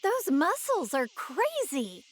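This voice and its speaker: A high, bubbly young woman. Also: wildly swooping pitch, slow pace, heavily acted. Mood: upbeat, admiring. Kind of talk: scripted video game commentary.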